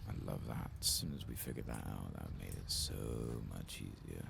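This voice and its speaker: A man giving soft-spoken, whispery ASMR commentary as he plays a game, relaxed and close to the mic. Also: a bass voice, normal speed. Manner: hushed, relaxed. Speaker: ASMR gaming streamer. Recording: clearly noisy, with game ambience behind.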